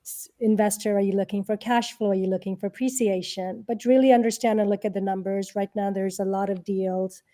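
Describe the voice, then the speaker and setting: A woman speaks as an interview guest in an informal, conversational way. Her voice is mellow and soft-edged, at an ordinary pitch for a woman. She tends to explain things by posing questions. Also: fairly even intonation, a little fast, few pauses. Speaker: interview guest, real estate and angel investor.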